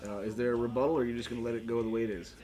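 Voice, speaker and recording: A man's voice: medium pitch, little pitch movement, racing. A man acting as game master, narrating and refereeing a tabletop role-playing game. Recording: music playing behind the voice. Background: music.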